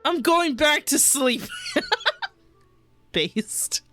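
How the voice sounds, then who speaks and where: A woman doing casual, playful live reaction commentary as a game streamer, expressive and giggly. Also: sits high, very slow. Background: game music.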